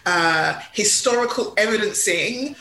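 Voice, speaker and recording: A woman speaks frankly and emphatically as a podcast guest. Her tone is bright and forceful, and she often restarts her sentences. The room sounds echoey. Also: medium pitch, expressive, measured pace. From England. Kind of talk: casual conversational interview. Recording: echoey room with faint noise.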